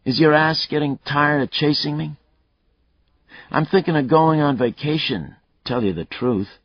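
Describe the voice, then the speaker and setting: A man's voice: fairly high pitch, a little slow, no room sound, muffled recording, pitched above the performer's own voice. A male narrator voicing a taunting, unhinged fugitive killer in an audiobook, with a crude, swaggering manner. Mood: mocking.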